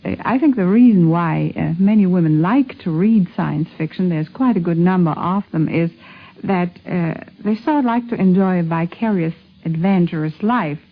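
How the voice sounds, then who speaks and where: A woman's voice: fairly low pitch, moderate pace. A woman explaining her opinion in an interview, careful and somewhat hesitant. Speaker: interviewed fan. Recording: thin phone-like 1950s radio recording.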